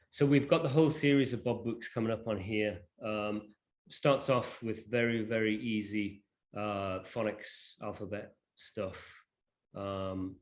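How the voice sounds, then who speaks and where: A man presenting a software demonstration informally, in a hesitant, off-the-cuff way. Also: on the low side. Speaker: presenter demonstrating a digital library.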